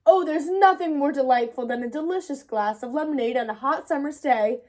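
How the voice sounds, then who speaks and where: A woman's voice: sits high, a little fast, a little mellow, lightly acted. A woman voicing a polite, dramatic neighbour lady in a storybook, with a gushing, exaggerated manner. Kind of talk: children's storybook read-aloud.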